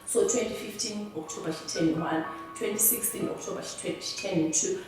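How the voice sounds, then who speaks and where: Zimbabwean woman in her young adult years, earnest halting testimony, mid-pitched voice, recorded far off in a very echoey room. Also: music in the background. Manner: halting and earnest, often restarting words. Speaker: interviewee. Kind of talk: personal testimony.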